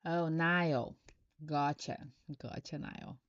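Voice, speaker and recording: A Brazilian woman with a lowish voice, speaking English as a second language, gives tarot answers to viewers of her live stream. She talks at a slow, halting pace, reading questions aloud before she answers. The sound is close and dry, a little dull and lo-fi. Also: fairly even intonation, clear, resonant tone, no room sound. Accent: Brazilian Portuguese. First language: Portuguese.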